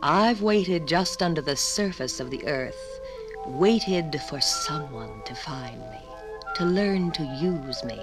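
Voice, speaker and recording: A man's voice: fairly high pitch, animated. A man playing a proud, long-snubbed talking rock, addressing the listener theatrically in 1950s radio drama style. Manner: theatrical, deliberate storytelling to the listener. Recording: 1950s radio LP, loud music behind.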